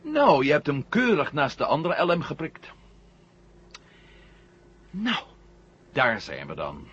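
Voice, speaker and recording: Slow, very animated astronaut. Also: male voice, on the high side, breathy edge, exaggerated voice. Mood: measured, wry. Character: laconic male astronaut piloting a lunar lander. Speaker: voice actor in a radio play. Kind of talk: 1970 Dutch radio drama acting. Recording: muffled old radio recording, loud engine hum.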